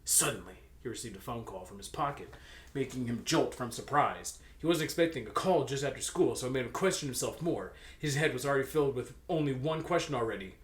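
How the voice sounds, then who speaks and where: A man's voice: medium pitch, lively intonation, fast. Young man reading fan fiction, echoey room. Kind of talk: comic storybook-style narration with casual banter.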